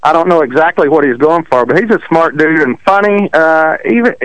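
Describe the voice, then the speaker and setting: Texan drag racer guest, country accent, easygoing and self-deprecating, slightly high voice, dry sound. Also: male voice, a little fast, fairly bright tone, no echo, poor audio. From Texas. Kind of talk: casual interview chat. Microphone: phone call.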